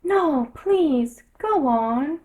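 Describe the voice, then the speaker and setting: A woman's voice: high voice, expressive, very slow, a little mellow, voice pushed up, exaggerated voice. A woman voicing a polite, earnest, curious young girl who is easily offended, in a storybook reading. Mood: pleading. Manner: earnest, questioning.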